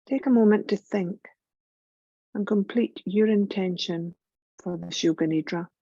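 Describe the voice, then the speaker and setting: A woman guiding a yoga relaxation meditation in a gentle, soothing, measured way, leaving long pauses. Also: medium pitch, vocal fry, dry recording, highs cut off. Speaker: yoga nidra meditation guide.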